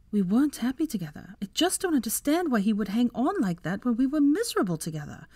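A woman's voice: fairly high pitch, warm tone, no room sound, pitched above the performer's own voice. A woman voicing a hurt, angry, betrayed wife in a story, earnest, emphatic and resolute.

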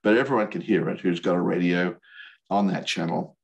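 An older man with an American accent giving an informal slide presentation in a hesitant, halting way. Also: low-pitched, vocal fry. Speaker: volunteer instructor, radio operator. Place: video call.

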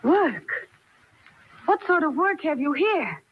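A woman's voice: high voice, very animated, slow pace, full character voice. An actress playing a haughty, impatient, desperate trapped woman, in old-time radio horror style. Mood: desperate, demanding. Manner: insistent, imperious, sharp-tongued. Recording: dull, lo-fi old radio recording.

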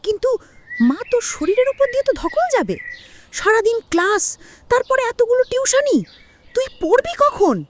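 A woman's voice: extremely high pitch, expressive, moderate pace, long pauses, no room sound. A woman playing a worried, loving middle-aged mother in a cartoon drama, speaking in an emotional, plaintive way. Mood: concerned.

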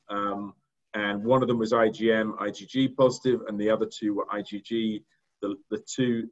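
Halting man on a dull video call. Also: on the low side. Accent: non-American English. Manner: halting, many fillers and repeated words. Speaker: diagnostics company executive. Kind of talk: presenting slides on a video call. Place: indoor room. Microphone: video call webcam.

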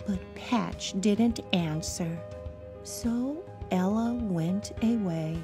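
Lady doing storytime for little kids, expressive and slow, high voice, bit of music behind. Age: middle-aged. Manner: animated, emphatic, encouraging. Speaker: children's read-aloud show host. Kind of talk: expressive storytelling for young children.

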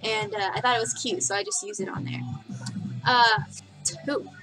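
A woman's voice: fairly high pitch, fairly even intonation, moderate pace, pushed and bright, faint music behind, poor audio. A woman in her twenties chatting casually in her bedroom for a vlog, giggly and rambling, with lots of fillers, picked up by a webcam. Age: 20s. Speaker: vlogger. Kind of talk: casual facts-about-me vlog. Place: bedroom, indoors. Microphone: webcam at desk distance.

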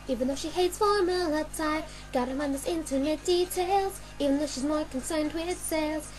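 A woman's voice: high-pitched, a little mellow, rough recording. A woman singing an amateur fan cover of a musical cartoon song, with music behind her. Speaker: fan singer and dubber.

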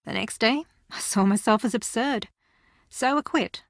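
A woman's voice: fairly high pitch, normal speed, no echo, clean studio sound, natural voice. A woman voicing a scheming, theatrical young female reality-show contestant in a video game, sassy, boastful and combative. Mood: wry, casual.

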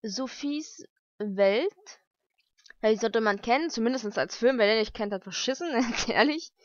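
Casting: young woman, slightly high voice, informal vlogger thinking aloud, dry lo-fi home recording. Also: animated, unhurried, dry recording. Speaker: vlogger unboxing mail-order books. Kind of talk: casual unboxing commentary. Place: lived-in room at home, indoors.

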